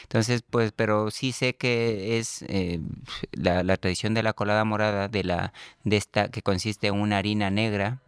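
A man's voice: fairly low pitch, steady tone, normal speed, smooth, no echo, studio quality. A middle-aged man from Ecuador with an Ecuadorian accent, speaking as a radio guest in a casual interview, hesitant and full of fillers and restarts. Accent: Ecuadorian Spanish.

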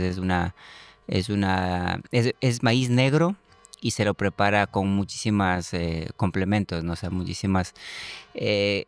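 A man's voice: lowish voice, smooth. A middle-aged man giving hesitant, unscripted interview answers, searching for words. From Ecuador. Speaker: interview guest.

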